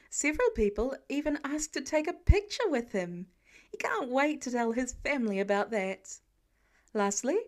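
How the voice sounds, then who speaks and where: A woman narrates a piece of fiction as an audiobook reader. Her voice sits a little higher than most women's. The delivery is scripted storytelling, read aloud line by line.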